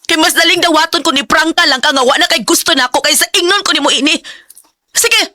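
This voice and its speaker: Radio drama lead: proud, wounded young Cebuano woman, high voice, close dry recording. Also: quick pace, no room sound. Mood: hurt, pleading. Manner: dramatic, emotionally expressive delivery. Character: heartbroken, proud young female office worker, curt and wounded. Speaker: lead actress and first-person narrator. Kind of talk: Philippine radio drama acting, emotional.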